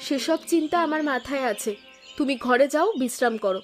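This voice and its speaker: A woman plays a devoted young village daughter in a cartoon folk tale. Her voice is very high, emotive and insistent, with clear music underneath. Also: fairly quick, natural voice. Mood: scolding, caring. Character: devoted, stubborn young village daughter who sells chillies. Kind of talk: animated Bengali folk tale voice acting. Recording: music behind the voice.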